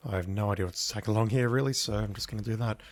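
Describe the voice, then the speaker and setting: A man with a croaky voice from illness, chatting casually as he streams a game. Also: lowish voice, steady tone, racing, faint music behind. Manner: rambling, chatty, apologetic. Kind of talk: casual gameplay commentary.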